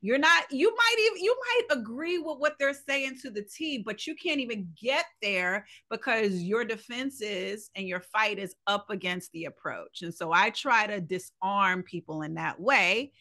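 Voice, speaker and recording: A woman's voice: medium pitch, lively intonation, measured pace, few pauses, pushed and bright. A woman co-hosting a podcast, talking earnestly and emphatically in a reflective conversational monologue, often posing rhetorical questions. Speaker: podcast co-host.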